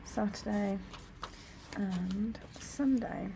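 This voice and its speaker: A young woman with a croaky voice, chatting casually at home while decorating her planner. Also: mid-range voice, very slow. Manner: chatty, rambling, soft-spoken. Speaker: planner vlogger. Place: home room near a busy road. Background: traffic noise.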